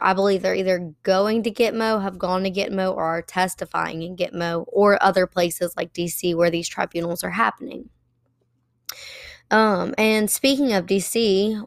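A hoarse woman hosting a solo commentary podcast, talking conversationally with frequent fillers and pauses. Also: medium pitch, fairly bright tone, no echo, clean studio sound. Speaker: podcast host.

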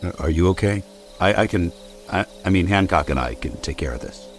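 A man's voice: sits low, animated, a little fast, a little hiss or hum. An actor playing a stalwart, protective military man in an audio drama, earnest and a little stiff. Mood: concerned.

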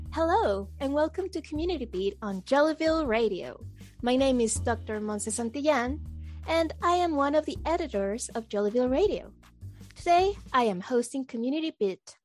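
A woman hosting a community radio interview, friendly and a little nervous and excited. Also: high voice, normal speed, soft background music. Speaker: podcast host.

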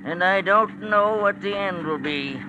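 An actor playing a chatty, fretful old factory worker in a classic radio drama, rambling in a folksy way. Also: male voice, a little slow, muffled recording. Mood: resigned, musing. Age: older. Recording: noisy old radio recording, vehicle rumble.

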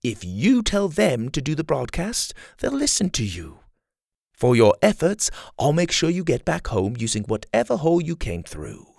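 A man voicing a smug scheming male rebel leader who taunts in a high, theatrical tone. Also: huge pitch swings, normal speed, creaky, a little mellow, dry recording, clean studio sound, exaggerated voice. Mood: taunting. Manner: gloating, theatrical, high mocking tone.

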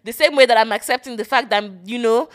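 A Nigerian woman co-hosts a casual podcast in a bright, forceful voice that sits a little high. She teases her co-host, drops slang freely and breaks into laughter often. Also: fast talker. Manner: playful, teasing, laughs often, slang-heavy. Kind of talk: casual chat.

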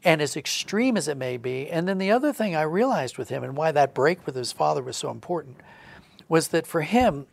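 A man's voice: fairly high pitch, expressive, fairly quick, creaky. A man talking earnestly and reflectively in a conversational religious podcast, often rephrasing a point for emphasis. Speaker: audio drama writer discussing his work.

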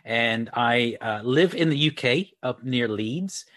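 A man's voice: mid-range voice, lively intonation, takes it slowly, a bit bright, dry recording, clean studio sound. A man, a guest coach giving casual, friendly interview answers full of fillers. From Texas, USA. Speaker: guest, online marketing coach. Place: lives near Leeds, UK.

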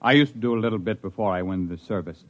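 An actor playing an affable middle-aged marooned man in a 1950s radio drama, talking in a conversational, expressive way. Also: lowish voice, racing, lightly acted. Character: middle-aged marooned male castaway, affable, settled, protective husband. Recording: dull vintage radio recording.

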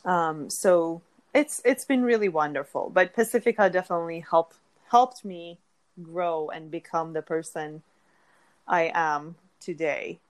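Reflective woman from Iran, lowish voice. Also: measured pace. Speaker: dietitian guest. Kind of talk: conversational interview.

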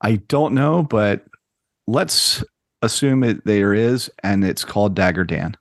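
A man's voice: fairly low pitch, slow pace, no room sound, clean studio sound. A man co-hosting a podcast, talking in an easygoing, joking way. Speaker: podcast co-host. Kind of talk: casual podcast chat.

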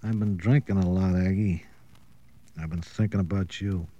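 An actor playing a boozy, lovesick, washed-up nightclub owner in an old radio crime drama, rambling and maudlin. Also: male voice, low-pitched, fairly even intonation, normal speed, mellow. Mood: bitter, lovesick.

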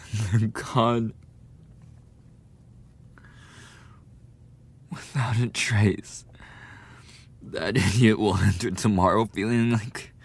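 Man acting a husky, breathy low-voiced delinquent bully. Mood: dejected, bitter. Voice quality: husky, breathy, often dropping to a whisper. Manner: halting, swearing, coughing between phrases. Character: sadistic delinquent school bully, lovesick, defensive and pathetic. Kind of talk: boyfriend ASMR audio roleplay.

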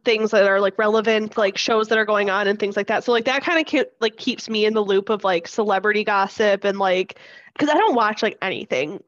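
A woman's voice: mid-range voice, a little fast, no echo, lo-fi sound. A congested woman co-hosting a podcast, talking casually with laughter and swearing. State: congested, stuffy nose. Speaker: podcast co-host.

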